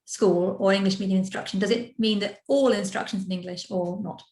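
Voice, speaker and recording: Young woman with a gravelly voice moderating a Q&A. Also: mid-range voice, fairly even intonation, a little fast, darkish tone, reverberant. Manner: friendly, relays questions. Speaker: event moderator. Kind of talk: moderating a live Q&A. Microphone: video call.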